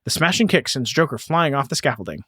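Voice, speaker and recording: A man puts on a pulpy superhero comic narrator at a medium pitch. He reads each caption with melodrama, in a dry, close recording. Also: sing-song, fast talker, bright, forceful tone, slightly rough, no room sound, exaggerated voice. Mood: dramatic. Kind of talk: melodramatic read-aloud narration.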